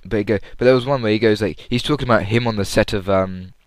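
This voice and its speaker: A man with a fairly low, clean voice chats casually to himself on a personal audio log. He rambles through his story in an informal way, and the recording is close and dry with little room sound. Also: fast talker, no echo. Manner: rambling, acts out quoted lines loudly. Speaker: audience member recapping a comedy show.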